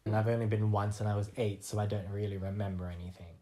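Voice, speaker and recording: A man's voice: lowish voice, little pitch movement, quick pace, mellow. Casual young Australian man, self-deprecating. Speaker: interviewee, artist. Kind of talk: casual conversational interview.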